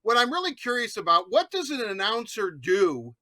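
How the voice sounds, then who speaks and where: Very bright, high-voiced male host. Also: huge pitch swings, normal speed, no room sound. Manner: playful, teasing, rambling questions. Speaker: sports show host. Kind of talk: casual chatty interviewing.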